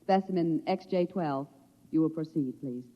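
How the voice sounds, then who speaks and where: A woman's voice: sits low, moderate pace, lightly acted. An actress playing a cold, controlled woman professor in 1950s radio drama, with clipped, clinical and formal diction. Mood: formal lecturing. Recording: muffled old radio recording.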